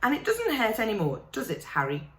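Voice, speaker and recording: A quick, earnest schoolgirl, read by a woman. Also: a little high, huge pitch swings, roomy sound, pitched above the performer's own voice.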